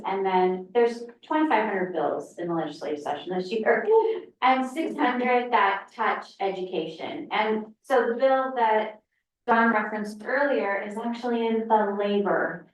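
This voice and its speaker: Chatty woman in an echoey hall. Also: medium pitch. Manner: chatty, joking, informal. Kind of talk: meeting remarks. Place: school meeting room. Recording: very echoey room, distant mic, faint noise.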